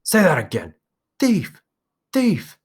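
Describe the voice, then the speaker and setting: A man voicing an aggressive, taunting boy leader who shouts. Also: medium pitch, very animated, moderate pace, slightly rough, voice pushed up. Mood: aggressive shouting.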